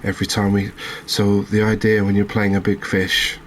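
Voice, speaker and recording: Deep voiced guy doing chill asmr game commentary, barely varies his tone, bit of background noise. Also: monotone, moderate pace. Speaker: gaming video host.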